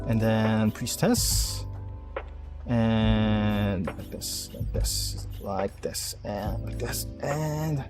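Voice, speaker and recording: A man's voice: fairly low pitch, darkish tone. A man giving casual gameplay commentary, thinking aloud and muttering half-finished plans with some mild swearing. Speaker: video game streamer.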